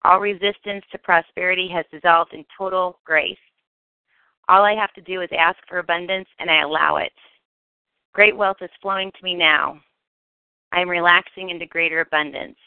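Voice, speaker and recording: Low-pitched woman narrating meditation, phone-like sound. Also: fairly even intonation, moderate pace, clear, resonant tone, no echo. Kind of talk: scripted affirmation meditation narration. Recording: tinny phone-like narrow band, dry.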